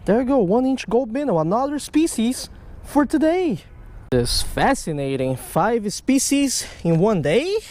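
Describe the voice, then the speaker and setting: A man giving casual, excitable running commentary while fishing outdoors at a creek, talking to the fish and his viewers, recorded on a chest-mounted action camera. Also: very high voice, measured pace. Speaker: fishing vlogger. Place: outdoors by a creek under a stone bridge.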